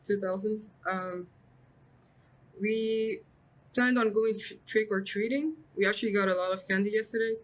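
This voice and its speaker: A young woman student giving chatty, lively interview answers. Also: mid-range voice, moderate pace, rough recording. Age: young adult.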